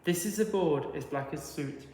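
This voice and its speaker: A man's voice: fairly high pitch, moderate pace, darkish tone. A man reading a rhyming children's storybook aloud in a rhythmic narrating style. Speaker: teacher reading to pupils. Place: large reverberant indoor room. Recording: echoey hall with long reverb.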